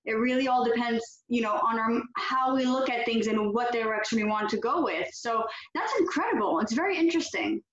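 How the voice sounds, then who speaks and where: A woman's voice: fairly high pitch, brisk, few pauses, reverberant, lo-fi sound. A woman co-hosting a podcast conversation, responding with enthusiasm and affirmation.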